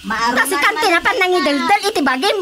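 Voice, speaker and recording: A woman's voice: very high voice, lively intonation, fairly quick. A woman with a Filipino accent playing a quarrelsome, emphatic village neighbour in a radio drama. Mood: indignant, scolding. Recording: very noisy radio drama track.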